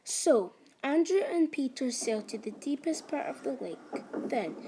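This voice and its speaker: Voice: high-pitched, very animated, unhurried, warm tone, slight background noise. A narrator reading a scripted story for a school puppet show.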